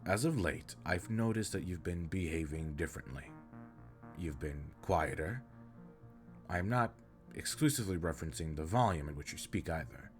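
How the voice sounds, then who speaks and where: A man's voice: sits low, expressive, moderate pace, faint music behind. A man playing an earnest, caring alien spaceship captain in an audio roleplay, speaking in stiff, formal, precise sentences. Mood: concerned, apologetic. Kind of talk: scripted roleplay audio drama, addressing the listener.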